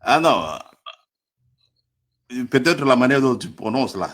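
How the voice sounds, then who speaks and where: A man's voice: medium pitch, very animated, takes it slowly, a bit bright. A man from Congo with a Congolese French accent, debating in a live online stream, hesitant and stammering with frequent chuckles.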